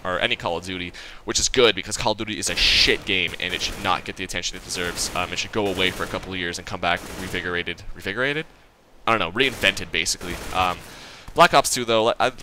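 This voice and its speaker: A man's voice: low voice, pushed and bright, slight background noise. A nineteen-year-old man giving casual gameplay commentary, rambling and profane, stuttering and leaving sentences unfinished. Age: young adult. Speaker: gaming channel host.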